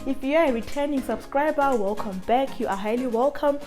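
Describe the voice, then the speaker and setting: A woman's voice: high voice, a little fast, a little mellow, faint music behind. A woman with a Zimbabwean accent hosting a motivational advice vlog, speaking emphatically to her viewers and repeating phrases for stress. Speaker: vlogger, channel host.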